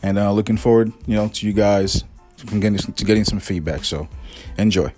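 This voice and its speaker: A man's voice: lowish voice, soft background music. A man in his forties from Haiti, hosting a podcast and chatting casually with a guest, with many fillers. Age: 40s. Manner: fast, relaxed, conversational with fillers. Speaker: podcast host. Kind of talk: casual interview chat.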